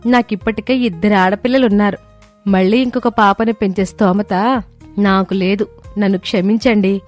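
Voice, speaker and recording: A woman's voice: mid-range voice, fairly even intonation, normal speed, faint music behind, natural voice. A woman voicing a concerned townswoman, a mother, with a plaintive, persuasive manner in a moral story. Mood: apologetic. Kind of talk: storybook dialogue read by narrator.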